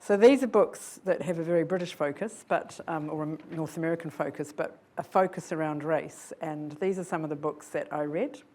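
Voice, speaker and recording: A woman's voice: low-pitched, normal speed, hardly any pauses, darkish tone, a little hiss or hum. An older woman from New Zealand giving an earnest, reflective public lecture, often posing rhetorical questions to her audience. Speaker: guest lecturer. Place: lecture hall.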